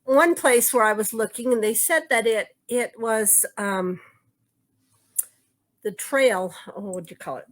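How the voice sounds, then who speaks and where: Chatty woman co-host with a high voice. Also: unhurried. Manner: chatty, rambling, searching for words. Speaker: quilt shop co-host. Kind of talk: casual show-and-tell chat. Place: quilt shop.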